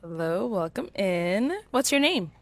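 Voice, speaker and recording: A woman hosting a dating show, asking brisk, upbeat questions and announcing guests. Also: medium pitch, sing-song, slight background noise.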